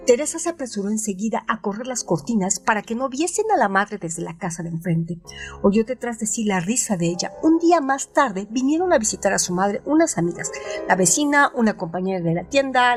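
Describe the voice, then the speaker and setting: Female narrator, scripted literary reading, slightly high pitch, soft music bed.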